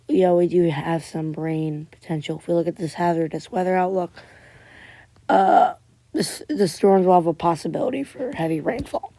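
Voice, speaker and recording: A woman talks through a tropical weather update in a casual way. Her voice is wearing thin, and she keeps clearing her throat and stumbling into restarts. The sound is dry and close, with no room in it. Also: lowish voice, mellow, no echo. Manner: halting, many restarts and fillers. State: losing voice, frequent throat clearing. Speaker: amateur weather forecaster.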